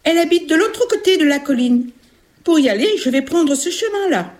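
A woman's voice: high-pitched, expressive, brisk, darkish tone, voice pushed up. A woman voicing a polite, innocent little girl in a fairy tale, in a simple childlike manner.

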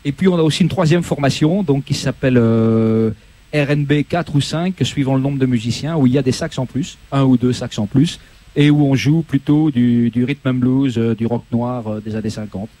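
A man in his sixties with a dark, mellow voice answers questions in a relaxed, plain-spoken way. He is a guest singer-guitarist talking about his bands on a studio set. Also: mid-range voice, normal speed, dry recording. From Belgium, raised in Corsica. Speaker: guest musician.

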